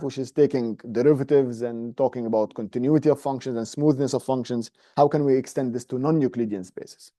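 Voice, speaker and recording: A man's voice: fairly high pitch, warm tone, no echo, clean studio sound. A man in his thirties with an Egyptian accent giving an informal, explanatory classroom math lecture, often checking in with short tag questions. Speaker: university lecturer. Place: medium-sized indoor classroom, standing at a presentation screen.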